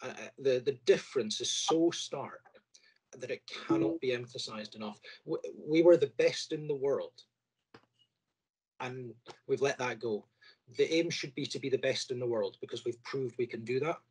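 A man's voice: medium pitch, moderate pace, a little mellow, slightly rough, rough recording. A middle-aged man making an earnest statement as a surgeon in an online meeting. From Scotland. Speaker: orthopaedic surgeon.

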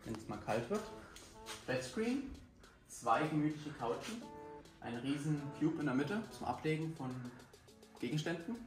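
A young man giving a casual house tour in short, estate-agent-like phrases. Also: fairly high pitch. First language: German. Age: young adult. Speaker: vlog companion showing the accommodation. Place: indoors in a furnished holiday house. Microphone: handheld camera a few steps away. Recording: echoey rooms, off-camera distance, music over it. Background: music.

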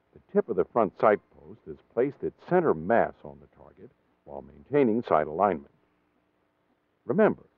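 A man with an American accent reading scripted training-film narration in a measured, formal, precisely enunciated way. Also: fairly low pitch, no room sound, phone-quality sound.

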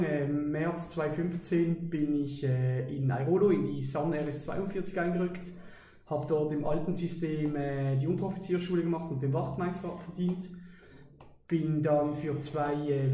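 A man's voice: fairly high pitch, dark tone, roomy sound. A young man, answering podcast questions with many hesitations. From Basel, Switzerland. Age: 20s. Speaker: interview guest, army lieutenant. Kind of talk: casual podcast interview. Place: outdoors beside an army vehicle.

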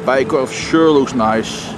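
Slow-paced man vlogging in street noise. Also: medium pitch. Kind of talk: casual vlog commentary on sights. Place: outdoors on busy city streets. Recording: clear outdoor street and traffic noise.

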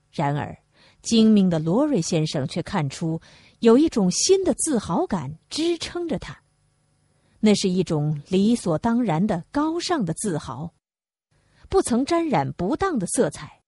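A woman's voice: medium pitch, warm tone, husky, no echo, clean studio sound. A woman narrating a literary audiobook, reading from a script.

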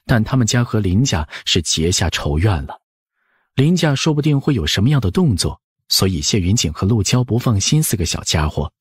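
A man's voice: lowish voice, husky, dry recording, clean studio sound. A man narrating an audiobook in a scripted storytelling style.

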